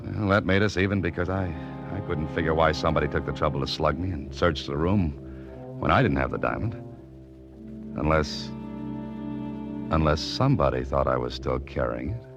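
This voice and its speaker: A man's voice: rumbling low, moderate pace. An actor with an American accent playing a cool, wry, tough adventurer who narrates his exploits in terse slang in a radio mystery. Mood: wry, puzzled. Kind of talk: 1940s radio mystery acting, hardboiled narration. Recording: old radio recording, music behind, muffled.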